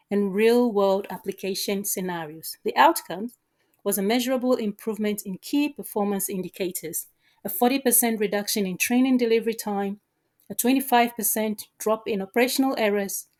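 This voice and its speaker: A woman answering as a job candidate, with formal, measured delivery of rehearsed interview answers. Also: mid-range voice.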